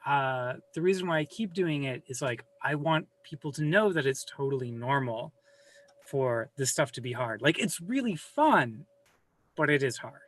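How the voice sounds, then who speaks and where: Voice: medium pitch, lively intonation, normal speed, fairly bright tone. A guest on a video call chatting casually, rambling and self-deprecating, with casual swearing, recorded at home. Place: home room with a server rack.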